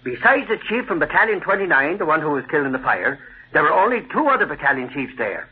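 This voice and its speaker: Brash, high-pitched old radio tough guy. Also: very animated, fast, slightly rough, full character voice. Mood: matter-of-fact. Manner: blustery, slangy, exclamatory. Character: brash, excitable newspaper tough guy, loud and cocky. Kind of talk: old-time radio drama acting. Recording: thin, tinny vintage radio recording.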